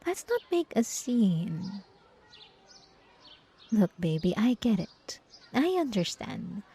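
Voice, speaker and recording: A woman's voice: medium pitch, very animated, slow pace, mellow, husky, full character voice. A woman playing an obsessive, gaslighting ex-girlfriend in an ASMR roleplay, coaxing with condescending, cloying sweetness. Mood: coaxing, possessive. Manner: coaxing, cooing, condescending pet names.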